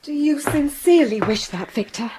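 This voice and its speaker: A woman's voice: high-pitched, animated, normal speed. A woman playing a tender, anxious young bride-to-be in a radio drama. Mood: tender, pleading. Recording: loud background noise.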